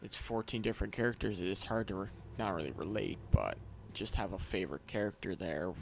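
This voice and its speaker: A man's voice: deep voice, animated, brisk, vocal fry. A man giving casual, unscripted video game commentary in a rambling, slangy, laid-back way. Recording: loud game music and vehicle noise behind. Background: game music and vehicles.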